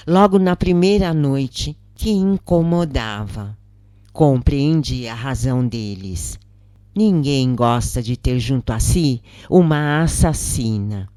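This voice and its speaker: A Brazilian woman reads a story in a deep, slow voice. Her tone is dark and soft-edged, and she leaves deliberate pauses between phrases, reflective and measured as in an audiobook. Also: dry recording, studio quality. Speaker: narrator reading a first-person spiritual account. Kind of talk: scripted narration, audiobook storytelling.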